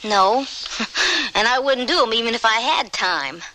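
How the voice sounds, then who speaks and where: A woman's voice: on the high side, very animated, a little slow, pushed and bright, heavily acted. A woman playing a forthright, folksy young farm girl in an old-time radio western. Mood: teasing. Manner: folksy, blunt. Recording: slightly noisy radio drama recording.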